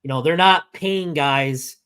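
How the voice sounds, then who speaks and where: Hoarse man chatting about college football. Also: fairly high pitch, a bit bright. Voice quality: halting, many restarts and fillers. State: hoarse, losing his voice from illness. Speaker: podcast co-host. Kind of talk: casual sports podcast chat.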